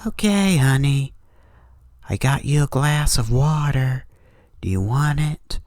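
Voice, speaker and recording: A man's voice: mid-range voice, takes it slowly, darkish tone, no echo, studio quality, voice pushed up, lightly acted. A man voicing a caring mother speaking to her son in a horror story. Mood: gentle, concerned. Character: caring mother calling softly to her son, sinister undertone.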